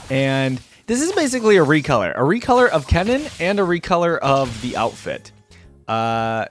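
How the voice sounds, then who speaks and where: Male gaming reactor, medium pitch. Informal, off-the-cuff commentary, rambling and thinking aloud. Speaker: video creator reacting to game characters.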